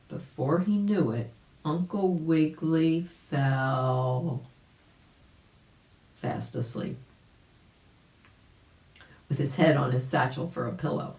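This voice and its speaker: A woman's voice: sits low, warm tone. Woman reading children's stories, echoey room. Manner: explanatory, addresses young listeners directly. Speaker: volunteer storybook reader. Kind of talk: children's story narration with asides to listeners.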